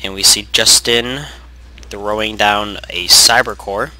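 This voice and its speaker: A young man doing casual play-by-play commentary on a strategy game, losing his voice from sickness, rambling and correcting himself, clearing his throat often. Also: fairly low pitch, fairly even intonation, slow pace, pushed and bright, rough recording. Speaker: game caster.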